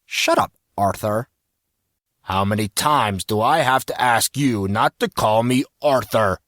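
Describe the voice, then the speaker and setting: A man's voice: fairly low pitch, unhurried, a bit bright, no room sound, clean studio sound. A man voicing an aloof, scornful teenage know-it-all in an audiobook story, matter-of-fact and condescending. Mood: irritated.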